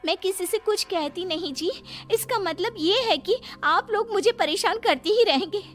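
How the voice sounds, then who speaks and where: A woman's voice: high-pitched, expressive, a little slow, a bit bright, pitched above the performer's own voice. A woman voicing a polite, overworked young daughter-in-law in a cartoon moral story. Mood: fed up. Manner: deferential, ends phrases with polite "ji". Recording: clear music bed behind voice.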